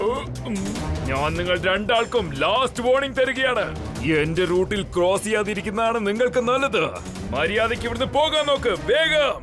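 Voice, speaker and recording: A man's voice: very high voice, lively intonation, brisk. A man voicing a boastful, menacing male cartoon villain in speech, theatrical and gloating. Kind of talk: dubbed children's cartoon acting. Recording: music behind the voice.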